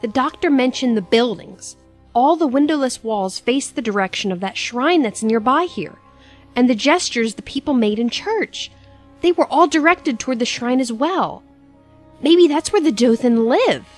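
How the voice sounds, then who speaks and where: A woman's voice: high-pitched, animated, normal speed, faint music behind. A woman playing a practical young adventurer in an audio drama, reasoning in a matter-of-fact way. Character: level-headed, practical young female adventurer.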